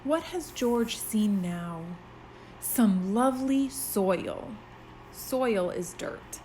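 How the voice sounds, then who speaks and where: A woman's voice: medium pitch, extremely slow, warm tone, slight background noise, poor audio. A woman teaching pre-kindergarten children, reading a story aloud expressively and explaining it in simple words.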